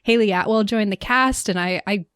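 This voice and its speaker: A woman's voice: mid-range voice, normal speed, a bit bright, clean tone, no room sound, clean studio sound. Chatty woman co-hosting a film podcast. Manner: chatty, laughs often, many fillers. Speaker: podcast co-host. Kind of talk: casual film chat.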